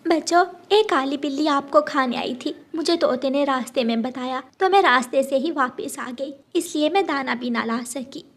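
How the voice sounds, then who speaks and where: A woman's voice: high-pitched, normal speed, hardly any pauses. A woman voicing a protective, worried mother bird, earnest and motherly, in a children's cartoon. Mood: worried, tender.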